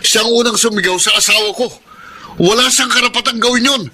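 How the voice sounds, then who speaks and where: An actor playing a hot-headed, defiant young husband and younger brother, brusque and combative, in a Filipino radio drama. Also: male voice, very high voice, fairly quick, pushed and bright, slight background noise, full character voice. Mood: angry. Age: young adult.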